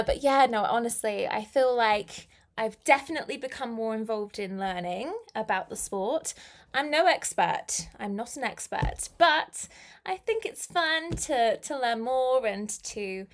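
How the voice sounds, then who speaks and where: Chatty woman, slightly high voice. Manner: chatty, giggly, answers viewers' comments aloud. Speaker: English teacher. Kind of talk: live-stream teaching chat.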